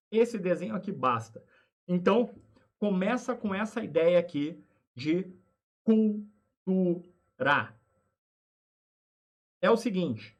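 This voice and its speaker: A man with a Brazilian Portuguese accent, teaching a class in a lively way and asking himself rhetorical questions. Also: high voice. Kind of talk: lecture for students.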